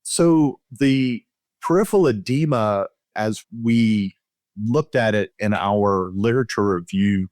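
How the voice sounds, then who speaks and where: A man's voice: on the low side, slow pace, darkish tone, no echo, clean studio sound. A man speaking as a physician guest, explaining clinical findings in a conversational interview style.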